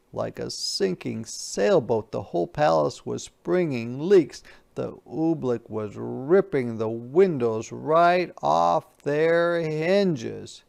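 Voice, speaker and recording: A man reading a storybook aloud interactively, dramatic in the telling, with quieter asides to explain and ask questions. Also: medium pitch, vocal fry. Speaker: teacher reading a picture book aloud.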